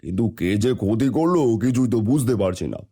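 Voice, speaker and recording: A man voicing a jealous, greedy village shopkeeper with a husky voice in an animated moral tale. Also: mid-range voice, quick pace. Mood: scheming, gloating.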